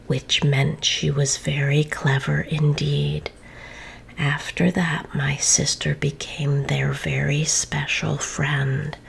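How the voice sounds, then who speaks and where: A woman reading a children's story aloud in a soft, gentle whisper. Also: deep voice, creaky, a little hiss or hum.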